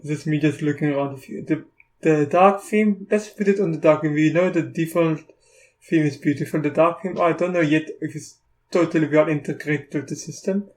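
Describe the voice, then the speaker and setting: A South African man whose first language is Afrikaans, casually talking through a screen recording of software, often stopping and restarting. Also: on the high side. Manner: halting, restarts often, thinks aloud. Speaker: tech YouTuber.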